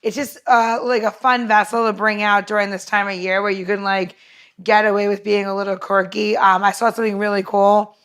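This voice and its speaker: A woman's voice: mid-range voice, little pitch movement, fairly quick, fairly bright tone, clean tone. A woman podcast co-host casually walking listeners through making a cocktail, with a chatty, loose delivery full of fillers.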